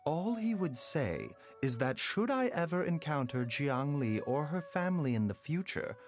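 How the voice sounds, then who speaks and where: Soft-spoken, polite male physician for a game: refined and composed, lively inflection, music behind. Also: a little high, moderate pace. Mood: reflective. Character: gentle, courteous young male doctor, composed and refined. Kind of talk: video game voice acting.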